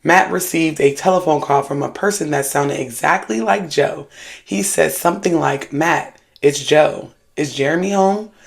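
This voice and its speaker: Creaky-voiced, sassy video host. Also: mid-range voice. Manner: sassy, slangy, emphatic. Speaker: YouTube host and spiritualist storyteller. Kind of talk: casual commentary with read-aloud paranormal stories.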